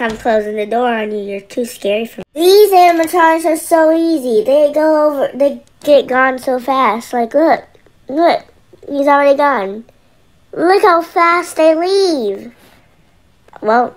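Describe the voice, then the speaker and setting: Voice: medium pitch, natural voice. A performer voicing a scared young boy trapped in nightmares, talking to himself in casual slang in an amateur horror roleplay.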